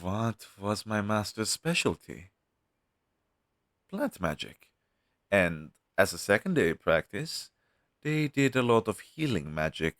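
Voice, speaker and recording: A man's voice: lowish voice, big pitch swings, a bit bright, no room sound, studio quality, heavily acted. A man playing a down-on-his-luck, friendly crow demon, once a witch's familiar, talking hesitantly to the listener in an audio roleplay. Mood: reminiscing. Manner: conversational, hesitant, with sighs and pauses.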